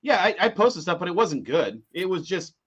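A man co-hosting a podcast in a casual chat, with a fairly high voice that swings up and down a lot. His tone is lively and he chuckles as he talks. The audio is dull and lo-fi. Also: brisk, breathy edge. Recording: dull lo-fi sound.

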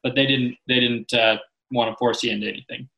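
A man of ordinary pitch interviews a guest in a relaxed way. He often repeats his first words before asking a question. His questions come in short casual bursts. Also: a little fast, vocal fry, highs cut off.

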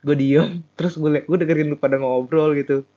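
A young man talks in a relaxed group chat, his voice a touch higher than most men's. He stumbles and repeats himself as he tells his story. The sound is dull and lo-fi. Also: normal speed. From Sumatra. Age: young adult. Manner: animated, hesitant storytelling with repeated words. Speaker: student. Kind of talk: casual chat.

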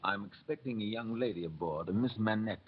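An actor playing a reserved, businesslike English banker and narrator in an old radio drama, with a measured, formal way of talking. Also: male voice, lowish voice, natural voice. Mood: formal, hesitant. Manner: measured, formal, hesitant at delicate news. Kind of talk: 1930s radio acting. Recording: dull, noisy old radio recording.

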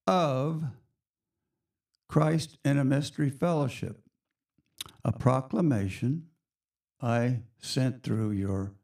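A hoarse man hosting a spiritual radio show, talking in a slow, reflective, chatty way to his listeners. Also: mid-range voice, darkish tone. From United States.

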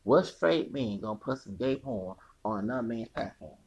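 Husky, gravelly man ranting with wild swings. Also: medium pitch, lively intonation, moderate pace. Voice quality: feminine-sounding voice. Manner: profane, laughing, sing-song asides. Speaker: podcast host. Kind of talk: rambling solo rant.

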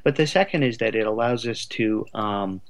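A man's voice: on the low side, normal speed. Thoughtful, articulate man in conversation. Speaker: podcast guest, writer.

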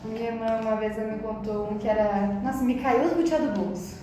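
A young woman with a São Paulo accent chats away in a lively, slangy way as a podcast co-host, her voice sitting notably high. She sounds set back from the mic in a strongly echoing room. Music plays clearly underneath her. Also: fast, far from the mic. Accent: São Paulo Brazilian Portuguese. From São Paulo, Brazil. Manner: animated, slangy, laughs often. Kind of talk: casual chat.

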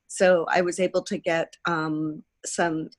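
A woman's voice: on the low side, little pitch movement, takes it slowly. A woman speaking as a podcast guest in a casual interview, telling anecdotes in a chatty way and acting out remarks. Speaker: podcast guest, theatre producer. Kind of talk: animated storytelling in casual interview.

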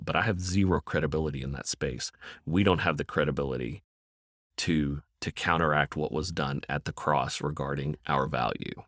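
A middle-aged man, a counselor and evangelist, answering in a podcast interview in a measured, thoughtful way and repeating words as he thinks. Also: rumbling low, fairly bright tone, dry recording, studio quality.

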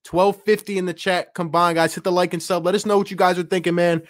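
Slangy, excited sports livestream host, dry close sound. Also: male voice, high voice, fast talker, no room sound. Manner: slangy, exclamatory, addresses listeners as man and bro. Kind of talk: excited sports livestream chat, reading viewer messages.

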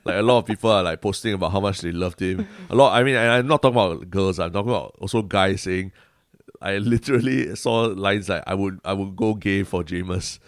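A man's voice: low voice, brisk, no room sound, clean studio sound. A man with a Singaporean accent co-hosting a podcast, talking casually and colloquially with Singlish particles. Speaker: podcast co-host. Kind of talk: casual conversational political chat.

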